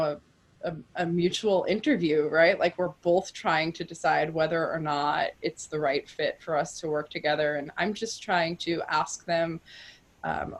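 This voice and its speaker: A woman guest in a casual interview, talking frankly and animatedly, often laughing. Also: on the low side. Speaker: coaching client, guest.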